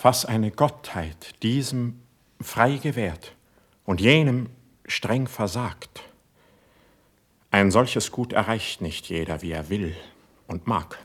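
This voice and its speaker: A man's voice: lowish voice, lively intonation, slow, pauses often, husky, full character voice. An actor playing an ardent, proud and sensitive young male court poet in a classical verse radio play, speaking in a fervent, lofty way. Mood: wounded, solemn. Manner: fervent, lofty, declamatory delivery.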